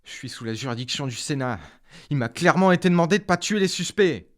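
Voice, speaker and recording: A man's voice: fairly high pitch, lively intonation, fast, close to an everyday voice. A man playing an exasperated, authoritative police captain in a sci-fi audio drama, blunt and indignant. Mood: angry, indignant. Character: angry, exasperated police captain under Senate orders.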